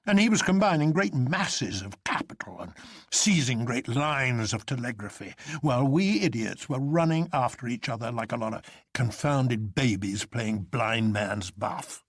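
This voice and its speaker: A man playing a fierce, bitterly sardonic police inspector in a story, declaiming emphatically in a gravelly voice. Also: medium pitch, expressive, measured pace, no room sound, clean studio sound. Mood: bitter, vehement.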